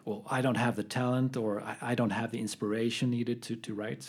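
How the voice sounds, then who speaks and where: Male academic co-host, husky, mid-range, measured. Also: medium pitch, flat delivery. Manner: measured, explanatory, hesitant fillers. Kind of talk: casual academic chat.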